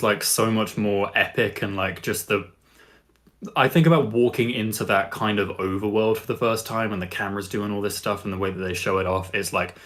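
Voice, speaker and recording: A man's voice: lowish voice, fairly bright tone. A man chatting casually as a co-host on a podcast. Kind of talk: casual chat.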